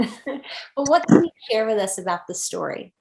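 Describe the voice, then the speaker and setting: A woman's voice: on the high side, big pitch swings, moderate pace, creaky, a little mellow. A woman hosting a podcast interview, recorded in an echoey room. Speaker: podcast host.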